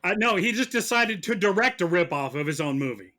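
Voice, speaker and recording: A man playing prosecutor in a mock trial, arguing in a sarcastic, halting, conversational way. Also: high voice, expressive, sharp tone. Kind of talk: mock courtroom argument, conversational.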